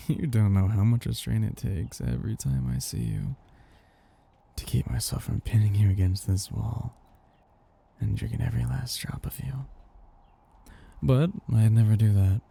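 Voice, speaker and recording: A man playing a possessive, condescending vampire master who croons and teases slowly in an intimate ASMR roleplay. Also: fairly low pitch, heavily acted. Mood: teasing, possessive. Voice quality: husky, gravelly, dark. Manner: slow, crooning, teasing, condescending.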